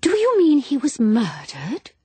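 A woman voicing an excitable, prying, plump middle-aged woman in a storybook reading. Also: medium pitch, unhurried, slightly rough, no room sound, pitched above the performer's own voice. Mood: eager gossip. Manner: eager, breathless, prying.

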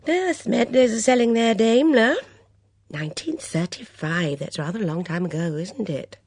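A woman's voice: mid-range voice, lively intonation, moderate pace, darkish tone, slightly rough, no room sound, clean studio sound, voice pushed up. A woman voicing a chattering, fussy middle-aged mother who prattles with disapproving asides.

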